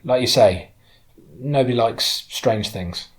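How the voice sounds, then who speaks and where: A man's voice: mid-range voice, takes it slowly, natural voice, low-quality recording. A man voicing a guarded, awkward girl from South London in a storybook, hesitant and terse. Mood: awkward, reluctant.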